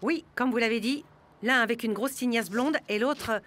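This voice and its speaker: A woman playing a brisk, official-sounding character in a French cartoon dub. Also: on the high side, a bit bright, close to an everyday voice. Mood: matter-of-fact.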